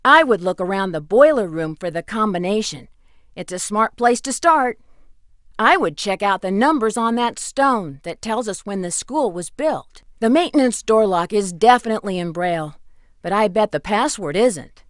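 A woman's voice: medium pitch, expressive, normal speed, no room sound, clean studio sound, natural voice. A woman playing a helpful friend who offers hints in a scripted video game. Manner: helpful, suggesting. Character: helpful female friend giving puzzle hints.

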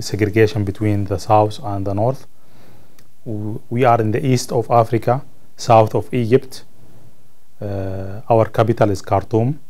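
A Sudanese man whose first language is Arabic speaks English with a Sudanese Arabic accent. His voice is low and very mellow, with a gravelly creak. He answers interview questions haltingly, pausing to search for words. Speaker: college ESL student being interviewed. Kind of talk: interview answers about own life. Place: indoor room, seated on camera.

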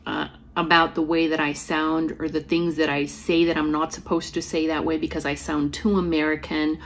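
A woman's voice: deep voice, level tone, moderate pace, a little hiss or hum. A woman in her forties with a slight lisp, reflectively telling a personal story to camera from home. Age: 40s. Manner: reflective, earnest, with fillers and restarts. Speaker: poet and author. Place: small room at home, indoors. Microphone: device camera at close framing.

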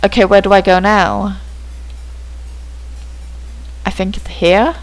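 A man's voice: high-pitched, fairly even intonation, slow, low-quality recording. A man chatting through a game as a let's play host, joking at himself, his voice cracking now and then. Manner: chatty, self-mocking jokes. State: voice cracking from hormone change. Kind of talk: casual gameplay commentary.

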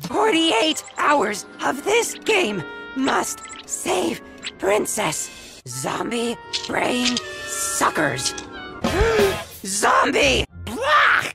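A woman voicing an excitable, bratty female cartoon kid with sudden outbursts. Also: high voice, gravelly, exaggerated voice.

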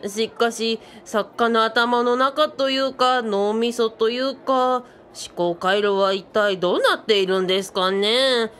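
A woman's voice: fairly high pitch, a little slow, few pauses, a bit bright, slight background noise. A woman voicing an eager, bookish boyish male sidekick who speaks politely with quirky sentence endings in a comic radio drama. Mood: enthusiastic.

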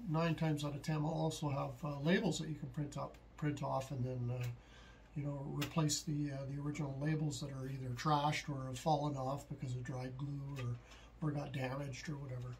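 A middle-aged man giving a casual, unscripted how-to explanation, halting and thinking aloud as he goes. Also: medium pitch, level tone, a little mellow, a little hiss or hum. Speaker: hobbyist host of a DIY tutorial. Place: small indoor home workshop at a desk, later at a computer.

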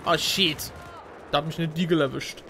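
A man's voice: on the high side, steady tone, normal speed, pushed and bright, a little hiss or hum. A young man commentating a game casually with a friend, slangy, repeating himself and mixing in English. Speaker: gaming video commentator. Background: shooter game gunfire and ambience.

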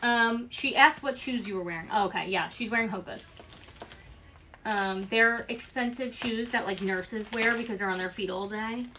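A woman's voice: a little high, moderate pace, pushed and bright. Chatty Bronx-accented woman, noisy room. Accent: Italian-American Bronx. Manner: chatty, reads out orders and comments. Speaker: livestream co-host packing orders. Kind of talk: casual livestream chat. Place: small business office.

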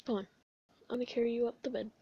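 A woman voicing a gentle, weary male skeleton in an amateur comic dub, low-key and hesitant in casual slang. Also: flat delivery, very fast, breathy edge. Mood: soothing. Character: gentle, weary male skeleton older brother, laid-back. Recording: dull, echoey room recording.

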